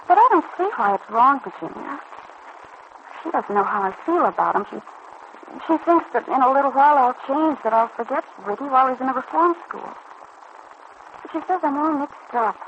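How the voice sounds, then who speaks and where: A woman's voice: sits high, animated, moderate pace. An actress playing a troubled, lovesick young girl in a vintage radio serial, speaking in an earnest, hesitant, pleading way. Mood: distressed, pleading. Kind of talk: 1940s-50s radio serial acting. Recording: dull, noisy old radio recording.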